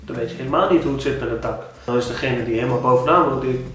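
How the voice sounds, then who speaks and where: A man in his thirties with a Dutch accent, giving home-buying tips in a casual, animated vlog with many restarts. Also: medium pitch, very fast. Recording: very echoey room, a bit distant.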